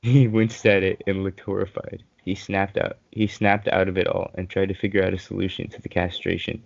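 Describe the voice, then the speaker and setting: A man's voice: deep voice, little pitch movement, a little fast, vocal fry. A young man casually reading a story aloud among friends, hesitant and given to giggling. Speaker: co-host reading fan fiction aloud. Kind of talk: casual group chat and amateur story reading. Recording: dull lo-fi voice chat audio.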